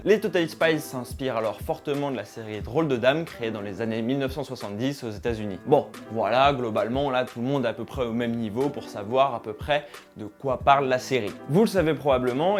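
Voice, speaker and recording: A young man hosting a conversational video essay, speaking informally and straight to his viewers. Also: medium pitch. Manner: informal, addresses viewers directly.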